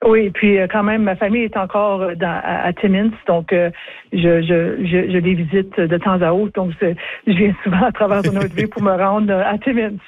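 A woman, answering interview questions slowly with many pauses and restarts over the phone. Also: mid-range voice, level tone, dry recording. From northern Ontario. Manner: hesitant, many fillers and restarts. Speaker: interview guest, former chief justice. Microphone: telephone. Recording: tinny phone line.

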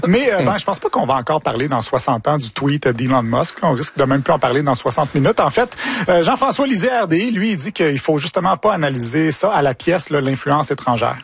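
A man's voice: fairly high pitch, expressive, a little fast, gravelly, husky. A man with a Quebec French accent hosting casual commentary on the news in a wry, joking, colloquial way. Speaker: host of a media commentary show.

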